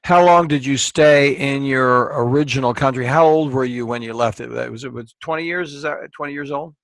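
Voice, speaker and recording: A man's voice: medium pitch, brisk, dry recording. Dry-voiced male podcast host. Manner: summarizing, folksy phrasing. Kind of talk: interview questions.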